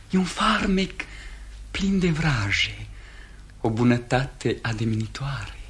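An actor playing a lovestruck, impetuous young gentleman in an old radio play, ardent and declamatory. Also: a little high. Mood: rapturous.

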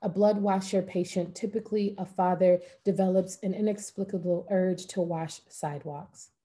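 A middle-aged woman reading poetry in a measured, deliberate way, like a clinical report, on a video call at home. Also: fairly low pitch, fairly even intonation, warm tone. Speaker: poet. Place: room at home. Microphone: computer mic on a video call.

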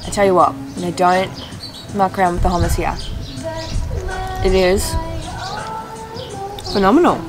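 Chatty woman vlogging over loud music. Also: on the low side, steady tone, a little slow, pauses often. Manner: chatty, upbeat, breezy. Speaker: travel vlogger. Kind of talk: casual travel vlog narration. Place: outdoors at resorts and landmarks. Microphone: handheld camera at arm's length. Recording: loud music bed underneath.

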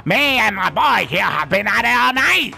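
A man putting on a gravelly drunk old geezer. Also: high voice, big pitch swings, normal speed, bright, forceful tone, faint music behind, exaggerated voice. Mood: boozy, coaxing. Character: drunk rambling old man, sly and jovial.

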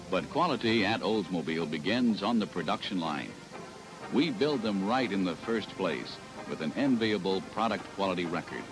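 Deep-voiced American male announcer, lively intonation. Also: low-quality recording. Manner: polished announcer delivery. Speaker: promotional film narrator. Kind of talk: scripted 1970s sales film narration. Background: music.

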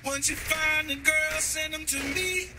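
An actor playing a slick, crude pimp sidekick in a video game, a man with a slangy, smooth-talking manner. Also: very high voice, fairly even intonation, a little slow. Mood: slick, casual. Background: loud music.

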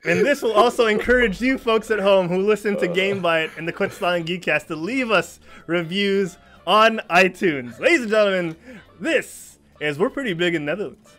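High-voiced man hosting a video-call podcast. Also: normal speed, fairly bright tone. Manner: playful, theatrical announcing. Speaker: podcast host. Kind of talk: casual podcast chat, reading reviews.

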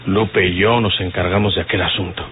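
An actor playing a resolute armed outlaw in an old radio drama, terse and commanding. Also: male voice, low-pitched, fairly even intonation, brisk, lightly acted. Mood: firm, decided. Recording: tinny old radio recording, faint noise.

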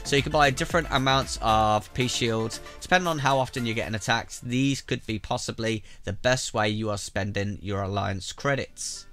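A man sounding bunged up from a cold, talking viewers through a strategy game in a chatty, direct way. His voice is bright and forward, with faint music playing underneath. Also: medium pitch, normal speed, few pauses. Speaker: gaming YouTuber. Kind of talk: casual game tutorial narration. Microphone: voice-over over gameplay capture.

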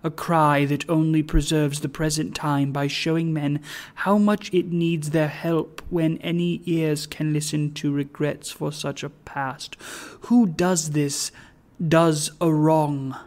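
A storybook reader acts out a solemn goblin spirit of an ancient bell. The delivery is slow and weighty, a grave oration full of stern accusation. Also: flat delivery, darkish tone. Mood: stern reproach. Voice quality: low, deep.

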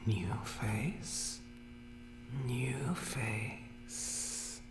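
Monster barber for horror ASMR: obsessive creature, whispered broken phrases, very slow delivery, roomy echo. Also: male voice, mid-range voice, music in the background. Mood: eerie, curious. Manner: broken, clipped speech with repeated words. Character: monstrous male barber creature from dark places, obsessed with cutting.

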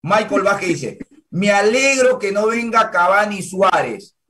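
A man's voice: high voice, animated, a little slow, pushed and bright. Firm, argumentative male host. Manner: firm, argumentative, claims the floor. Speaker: sports show host. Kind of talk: heated sports debate. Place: home room with bookshelf. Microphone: video call webcam.

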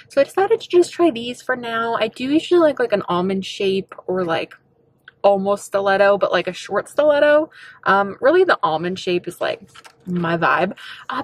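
A young woman vlogger in her twenties chatting casually to camera about her shopping haul from a parked car, bubbly and giggly with lots of fillers. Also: mid-range voice. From United States. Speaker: beauty vlogger. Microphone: camera on dashboard at arm's length.

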